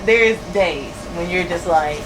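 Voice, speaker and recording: A woman's voice: lowish voice, slow pace. Candid woman in her thirties, air-con hum. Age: 30s. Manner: candid, repeats phrases, laughs easily. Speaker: store owner interviewed as guest. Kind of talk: casual conversational interview.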